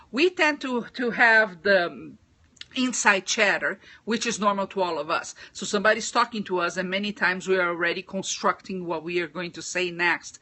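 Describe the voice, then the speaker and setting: A woman's voice: medium pitch, sharp tone. A woman from Brazil with a Brazilian Portuguese accent, giving business communication tips in a casual live stream, thoughtful with frequent restarts. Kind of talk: casual livestream talk.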